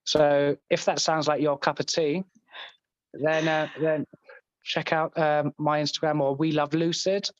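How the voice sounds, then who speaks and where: A man's voice: on the high side, level tone, a little slow, dry recording, highs cut off. A man with a Scottish accent chatting casually as a podcast guest, jokey and self-deprecating. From Scotland. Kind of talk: casual chat.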